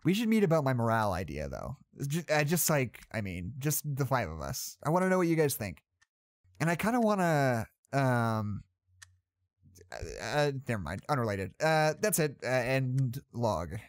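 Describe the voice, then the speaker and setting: A man playing an anxious, well-meaning head of psychology in an audio drama, halting and correcting himself as he tries to stay upbeat. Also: mid-range voice, big pitch swings, no echo, clean studio sound. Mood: shaken, rambling. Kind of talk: naturalistic audio drama monologue, spoken log.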